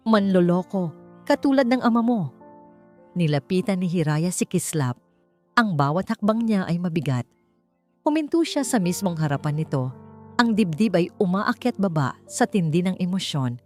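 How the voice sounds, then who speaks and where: A woman's voice: fairly low pitch, a little mellow. A woman with a Filipino accent narrating a scripted story in an emotive, theatrical way, as an audiobook narrator.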